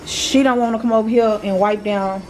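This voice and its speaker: A young Southern woman talks into a handheld phone as she walks through her apartment, rambling and loose, stopping to fuss at her kids. Her voice sits a touch high. A faint hiss runs under it. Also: steady tone, brisk, darkish tone, rough recording. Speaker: vlogger, single mother. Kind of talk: casual home vlog chat. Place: apartment living room and kitchen, indoors. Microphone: handheld phone camera.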